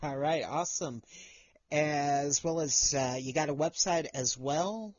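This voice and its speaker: A man's voice: a little high, unhurried, a little mellow, dry recording, lo-fi sound. Dry-voiced male podcast host. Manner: easygoing, joking, with fillers. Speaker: podcast host and news reader. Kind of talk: casual interview chat and read news segment.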